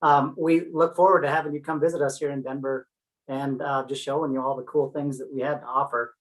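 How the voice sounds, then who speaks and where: A man's voice: mid-range voice, flat delivery, brisk, poor audio. A man in his forties speaking casually as a city representative on a video call. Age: 40s. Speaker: city representative giving a pitch. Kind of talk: casual remarks. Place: indoor office on a video call.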